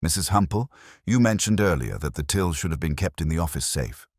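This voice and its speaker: A man voicing a calm, courteous police inspector in a mystery story, gentle, quiet and persistently probing. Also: low-pitched, a little fast, no echo, clean studio sound. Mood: gently probing.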